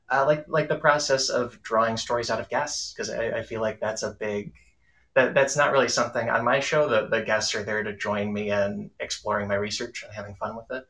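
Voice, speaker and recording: A man with a fairly low, bright and forward voice talks as a panel guest on podcasting. He is enthusiastic and conversational, often halting and repeating small words as he goes. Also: a little hiss or hum. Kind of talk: casual panel discussion.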